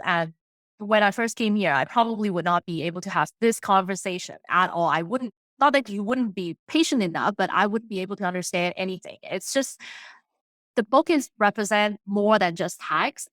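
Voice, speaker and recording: A woman's voice: medium pitch, normal speed, a bit bright, no room sound. A woman whose first language is not English, an accountant and author talking as a podcast guest, earnest and plain-spoken with frequent restarts. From immigrated to Canada in her late teens. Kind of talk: casual interview talk.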